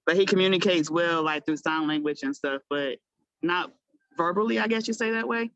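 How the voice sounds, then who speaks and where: A woman's voice: lowish voice, normal speed, a bit bright, no room sound, highs cut off. A woman in her thirties, a guest mother speaking in a candid, rambling, heartfelt way. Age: 30s. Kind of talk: personal storytelling.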